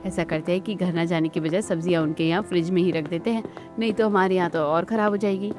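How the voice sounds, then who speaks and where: A woman's voice: on the low side, steady tone, fast talker, a little mellow, soft background music, natural voice. A woman playing a bossy, miserly Indian mother-in-law in a family cartoon, with a scolding, instructing manner. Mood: coaxing. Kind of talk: Hindi family cartoon dialogue.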